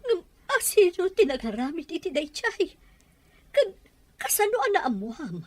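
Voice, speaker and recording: A woman's voice: very high-pitched, big pitch swings, moderate pace, a little mellow, no room sound. A woman with an Ilocano accent playing an anguished, middle-aged battered wife and fearful, pleading mother in an audio drama. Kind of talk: Ilocano radio-style drama acting.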